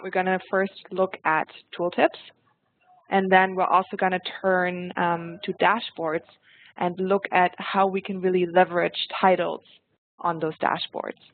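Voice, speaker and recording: A woman from Germany with a German accent, presenting a software tutorial webinar in a friendly, explanatory way and speaking straight to her audience. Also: fairly low pitch. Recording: dry tinny phone-like line.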